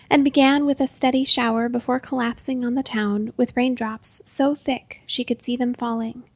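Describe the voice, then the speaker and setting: A woman reads a literary short story in a measured way, with phrases that soften to a hush. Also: on the high side, no room sound. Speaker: story narrator and author.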